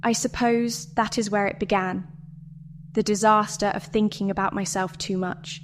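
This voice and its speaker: A woman delivering a scripted guided meditation and dramatic monologue in a measured, deliberate way with pauses. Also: medium pitch, fairly even intonation, slight background noise. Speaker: meditation narrator.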